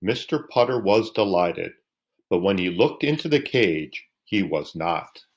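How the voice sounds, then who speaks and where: A man's voice: low-pitched, animated, a little slow. A man reading a children's bedtime story aloud. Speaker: storybook reader.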